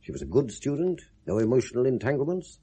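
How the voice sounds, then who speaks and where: A blunt british detective in an old radio play, mellow low voice, wry, swoops around. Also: male voice, sing-song, fast, slightly rough. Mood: sceptical. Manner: blunt, wry, insistent questioning. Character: sceptical, probing male police detective inspector. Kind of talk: 1970s British radio drama acting.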